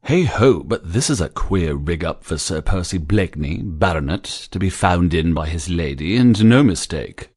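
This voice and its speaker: A woman's voice: very deep voice, lively intonation, moderate pace, few pauses, darkish tone, husky, exaggerated voice. A woman voicing a weary, good-humoured English baronet who speaks in sleepy, drawly, affected tones. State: weak and exhausted. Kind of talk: audiobook character voice.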